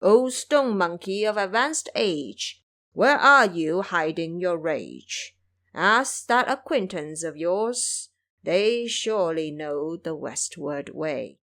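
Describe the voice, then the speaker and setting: A woman voicing a serene old male Buddhist master in an audio drama, speaking in measured, chant-like, cryptic phrases. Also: fairly low pitch, expressive, fairly bright tone, heavily acted.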